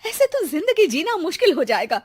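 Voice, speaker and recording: A woman's voice: very high voice, big pitch swings, brisk, voice pushed up. A woman voicing a clever, fed-up young housewife in a cartoon, sounding sweetly sly. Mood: exasperated. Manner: pleading, then sweetly sly. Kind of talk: cartoon voice acting.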